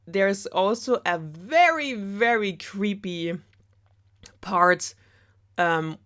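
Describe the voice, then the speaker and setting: Female storyteller, slightly low voice. Chatty, sarcastic, loose and rambling delivery, prone to giggles. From Germany. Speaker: storyteller host of a web series. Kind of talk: casual sarcastic fairy tale retelling.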